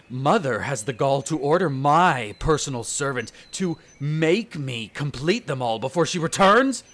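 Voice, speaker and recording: A man's voice: on the high side, fairly bright tone. A man playing an irritable aristocratic vampire in a roleplay audio drama, speaking formally with sneering condescension toward humans. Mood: exasperated. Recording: nature ambience with birdsong behind. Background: birdsong and garden ambience.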